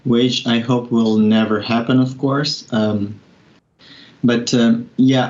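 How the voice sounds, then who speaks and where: A young man from Ukraine giving a news interview in a flat, even voice. He speaks earnestly and with measured care, pausing on fillers as he thinks. The audio sounds a little muffled, as if coming over a remote link. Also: mid-range voice. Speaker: interview guest.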